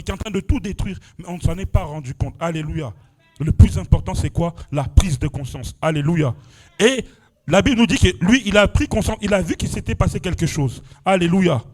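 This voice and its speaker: A man's voice: high-pitched, a little mellow. A young man from France preaching a testimony at a church prayer vigil, exclaiming and punctuating his phrases with "amen" and "alléluia". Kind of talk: sermon testimony.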